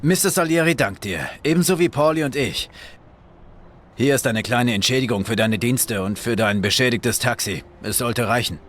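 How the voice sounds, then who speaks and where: An actor playing a courteous, controlled male mobster with a businesslike, quietly menacing manner in a video game. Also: medium pitch, moderate pace, slight background noise, close to an everyday voice. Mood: calm, courteous. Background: music.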